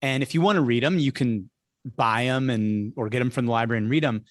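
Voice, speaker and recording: A man with an average-pitched voice, recorded dry with very little room sound. He hosts an interview in a casual, conversational way. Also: brisk, dry recording. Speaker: interview host.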